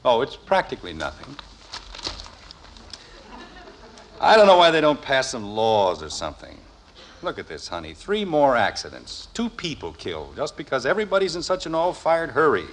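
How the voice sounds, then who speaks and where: A man's voice: medium pitch, wildly swooping pitch, fairly quick. An actor playing a stubborn, exasperated middle-aged father in a 1950s radio sitcom. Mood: grumbling, absorbed. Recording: hissy old 1950s radio broadcast.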